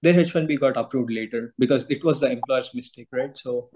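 A man's voice: medium pitch, fairly quick, a little mellow, breathy edge. A man calling in for advice, explaining and asking questions a little hesitantly. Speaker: caller seeking advice.

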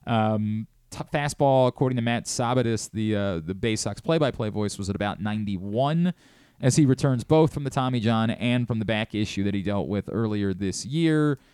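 A smooth, clear male sports radio host recorded close and dry. He talks through his analysis casually, rephrasing and stressing his points as he goes. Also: lowish voice, moderate pace, a little mellow, dry recording. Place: radio studio.

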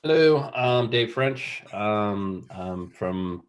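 Slow, very deep-voiced laid-back man. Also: lively intonation. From San Francisco Bay Area. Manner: laid-back, hesitant, many ums. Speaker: musician. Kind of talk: casual self-introduction on a video call.

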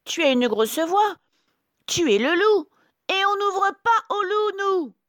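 A woman voicing cheeky, excitable young storybook goats with squeaky, childlike, singsong delivery. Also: unhurried, no room sound, studio quality. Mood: defiant. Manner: loud, singsong taunting. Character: chorus of cheeky, excitable little goat kids.

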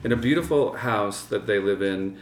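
Halting man in a roomy room. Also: lowish voice, recorded from a distance. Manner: halting, with many restarts and trailing off. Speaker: podcast co-host. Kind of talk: casual interview chat. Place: home living room.

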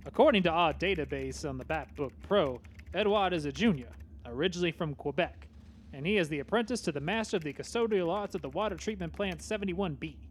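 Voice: medium pitch, animated, fairly quick, slight background noise, close to an everyday voice. A performer voicing a level-headed teenage boy sidekick in a comedy sketch, dry and patient.

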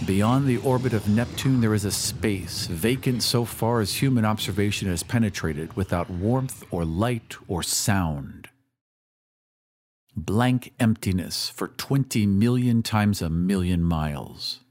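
A man narrating a story as a scripted dramatic reading, in a measured, solemn storytelling manner. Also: on the low side, darkish tone, slight background noise. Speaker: podcast host and narrator. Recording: music behind the voice. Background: music.

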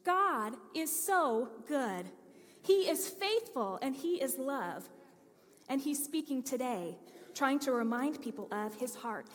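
A woman pastor preaching a sermon, telling a story and reading scripture with earnest, lively emphasis. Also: high voice, slow. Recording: long hall reverb.

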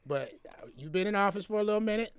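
A man hosting a podcast in casual, slangy chat, excitable and often repeating himself. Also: high-pitched, fast, smooth, dry recording. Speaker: podcast host.

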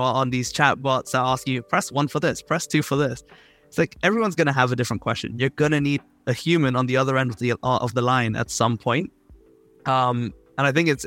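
A man's voice: medium pitch, fairly bright tone. A man moderating a panel discussion, reacting to points and passing questions on to the panelists. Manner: conversational, hands questions to panelists.

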